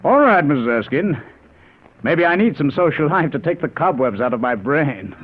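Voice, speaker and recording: Absent-minded professor, swooping delivery. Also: male voice, fairly high pitch, big pitch swings, normal speed. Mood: flustered, reluctant. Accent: American. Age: middle-aged. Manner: hesitant, muttering, swinging emphasis. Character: absent-minded middle-aged male physics professor, hesitant, preoccupied. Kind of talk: 1940s radio acting. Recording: noisy, tinny old radio recording.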